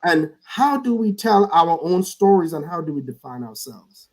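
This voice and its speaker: Earnest man, slightly high voice. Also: sing-song, moderate pace. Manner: earnest, repeats words when starting a thought. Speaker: guest. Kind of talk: reflective conversation.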